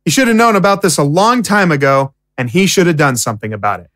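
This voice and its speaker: A woman's voice: low-pitched, animated, fast, a bit bright, dry recording, studio quality. A woman playing an impatient, outspoken young prisoner in an audio drama, with a casual, indignant way of talking.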